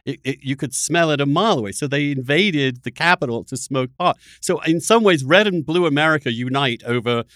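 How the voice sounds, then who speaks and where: A man hosting a casual political conversation, halting as he searches for words and skeptical in tone. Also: fairly high pitch, expressive, a bit bright, dry recording, clean studio sound. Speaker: podcast host.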